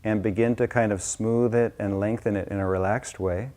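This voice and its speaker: Mellow low-voiced man guiding meditation. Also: fairly even intonation, moderate pace. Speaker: meditation teacher. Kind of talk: guided meditation, slow gentle instruction.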